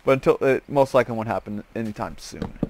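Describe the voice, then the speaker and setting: A man telling a casual story as he hosts gameplay, rambling and halting, often repeating and restarting his phrases. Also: mid-range voice, a little mellow, a little hiss or hum. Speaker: host of a gaming series.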